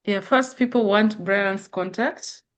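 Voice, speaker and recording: A woman helps moderate an online class. Her voice is mid-pitched, and she keeps the exchange informal as she hands over to participants. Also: slow pace.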